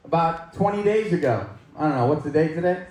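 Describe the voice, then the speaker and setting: A man's voice: on the high side, expressive, a little fast, a little mellow. An American man in his forties giving an informal personal testimony to a live audience, with a joking, self-deprecating manner. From Maine, United States. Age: 40s. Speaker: guest speaker giving testimony at church. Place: large echoey indoor room, speaking to a gathering. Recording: echoey room, distant mic, faint noise.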